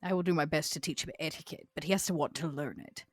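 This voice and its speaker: A woman's voice: sits low, fast talker, breathy edge, no room sound. A woman playing a proud, scornful old vampire in a tabletop roleplay, with haughty, theatrical, formal phrasing. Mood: cool, firm. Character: proud, scornful old female vampire named Vera, elegant and ambitious.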